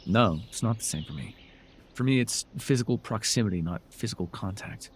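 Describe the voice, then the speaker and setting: A man's voice: fairly low pitch, animated, fairly quick, vocal fry, slight background noise. A man playing a sardonic, telepathic supervillain in a dramatic audio roleplay, with a theatrical, taunting way of talking. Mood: dawning sympathy. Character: sardonic male telepathic supervillain, menacing yet curious. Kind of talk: dramatic ASMR roleplay acting.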